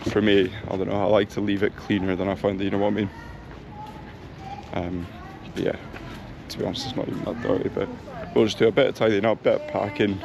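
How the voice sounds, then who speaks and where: A young man with a low, gravelly voice talks casually to the camera while he walks. He thinks out loud and fills gaps with ums. Loud street traffic and town bustle come through the action camera recording. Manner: chatty, thinking aloud with many ums. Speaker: travel vlogger. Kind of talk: casual vlog chat while walking. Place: outdoor town streets and a bakery. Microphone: action camera mic. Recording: noisy outdoor street recording. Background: street traffic and town ambience.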